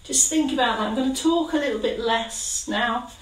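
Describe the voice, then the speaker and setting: Calm woman leading exercises. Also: on the high side, moderate pace, recorded from a distance. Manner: calm, encouraging step-by-step cues. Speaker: exercise instructor. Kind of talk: guided exercise class. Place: kitchen. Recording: roomy sound from away from the mic.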